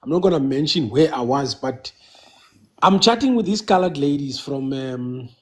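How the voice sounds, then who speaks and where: A South African man whose first language is Xhosa, calling in to a casual live chat and talking haltingly, with many restarts and fillers. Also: medium pitch, lively intonation. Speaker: caller.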